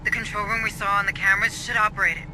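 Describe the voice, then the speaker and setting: A woman's voice: mid-range voice, little pitch movement, very fast, background noise, close to an everyday voice. A woman playing a focused colony administrator in a video game, directing a squad in a businesslike, directive way. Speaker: mission coordinator. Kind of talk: video game dramatic dialogue. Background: background music.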